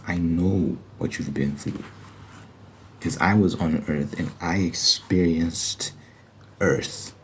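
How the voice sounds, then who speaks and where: A man's voice: low voice, a little mellow, slight background noise, low-quality recording. A man giving an informal, reflective ASMR religious monologue, thinking aloud as he explains scripture. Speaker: host of an ASMR Bible study. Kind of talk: ASMR religious monologue, conversational Bible commentary.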